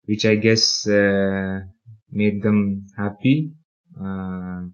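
Low flat-voiced young man, hesitant. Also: extremely slow, clear, resonant tone. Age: young adult. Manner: hesitant, many fillers and restarts. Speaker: podcast co-host and interviewee. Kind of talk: casual interview. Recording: dull lo-fi audio.

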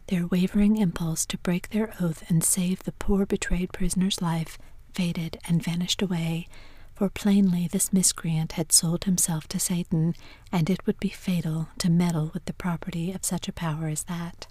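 A woman's voice: lowish voice, fairly even intonation, normal speed, no room sound. Woman reading a story in a whisper. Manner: soft unvoiced whisper throughout. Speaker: narrator.

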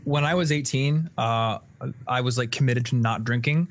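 A man's voice: mid-range voice, moderate pace. A young man talks in a loose, candid way on a live stream, with the odd swear word thrown in. He has an ordinary mid-range voice and an easygoing manner. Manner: relaxed, candid, casual swearing. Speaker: podcast host. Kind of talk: casual live-stream chat.

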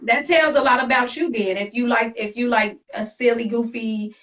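A woman's voice: fairly high pitch, fairly even intonation, moderate pace, fairly bright tone, lo-fi sound. A woman hosting a casual, hyped-up interview, with a bubbly, slangy, reactive way of talking. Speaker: host interviewer. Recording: distant mic with music behind.

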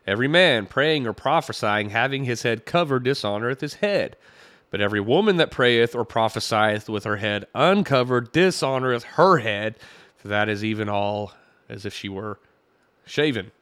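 A man's voice: mid-range voice, lively intonation, normal speed, fairly bright tone, dry recording. A man hosting a podcast, talking through a sermon clip in a conversational way and reading Bible verses aloud. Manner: conversational, reads scripture aloud, wry chuckles. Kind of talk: critical commentary on a played clip.

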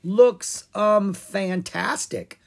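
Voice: mid-range voice, very slow, gravelly, voice pushed up. A storybook reader voicing a young girl dragon who is cautious and matter-of-fact. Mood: puzzled, polite. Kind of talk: animated children's storybook character reading.

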